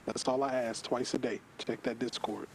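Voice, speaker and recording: A man's voice: mid-range voice, steady tone, normal speed, creaky, background noise. A man leading an online gaming group, giving an informal briefing in a firm, instructing manner over voice chat.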